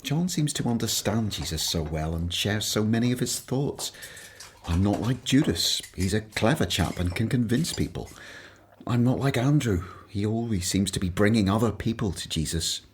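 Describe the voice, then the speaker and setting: An actor playing a humble, self-doubting former tax collector in a radio play, earnest and hesitant. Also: male voice, on the low side, animated, moderate pace, a little hiss or hum, close to an everyday voice.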